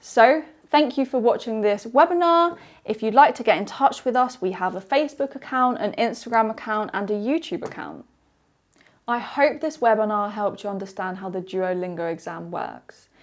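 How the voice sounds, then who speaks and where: A woman from the UK, an English exam trainer, presenting a webinar and walking through slides in a steady, explanatory way. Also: a little high, moderate pace.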